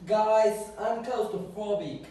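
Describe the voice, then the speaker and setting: A man acting an earnest sea creature in an amateur puppet show, with halting, non-native English phrasing. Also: high voice, mellow. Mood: uneasy. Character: earnest older sea creature, brave spokesman for the sea. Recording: echoey room, distant microphone.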